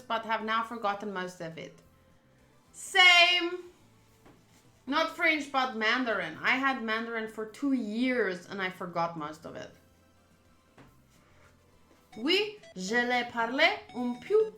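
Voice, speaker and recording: A chatty, expressive woman, a native Afrikaans speaker, streaming a game with casual talk and reading aloud. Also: fairly high pitch, slow pace. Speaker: streamer playing a visual novel. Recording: roomy sound with music underneath. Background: game music.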